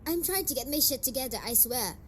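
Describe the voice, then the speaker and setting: A young woman playing a blunt, defensive tsundere delinquent girlfriend in an amateur romantic audio roleplay, speaking haltingly and swearing. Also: sits high, expressive, a bit bright, slight background noise. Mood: defensive, upset. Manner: hesitant, halting, foul-mouthed, defensive.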